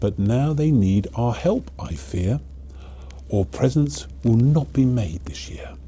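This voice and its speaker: A man voicing a timid, gentle female elf in a storybook, pleading earnestly in rhyme. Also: mid-range voice, animated, slow pace, husky. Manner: pleading, rhyming.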